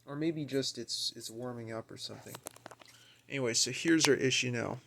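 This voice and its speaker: A man's voice: medium pitch, normal speed, vocal fry, fairly bright tone, a little hiss or hum. A man giving a casual, unscripted demonstration at home, musing and a little hesitant as he thinks aloud. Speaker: amateur video maker demonstrating equipment. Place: carpeted room at home, indoors.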